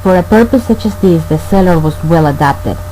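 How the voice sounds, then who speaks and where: A man playing a brooding murderer who narrates his crime in a radio play, formal and deliberate. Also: fairly high pitch, expressive, mellow, close to an everyday voice, rough recording. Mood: brooding, calculating. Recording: faint hum and noise.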